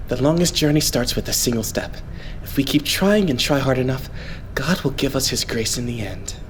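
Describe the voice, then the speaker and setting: A man's voice: mid-range voice, a little hiss or hum, close to an everyday voice. A man playing a devout, optimistic young male idol in an anime game fan dub, explaining things politely. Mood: hopeful, reassuring. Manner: polite, explanatory, measured phrasing.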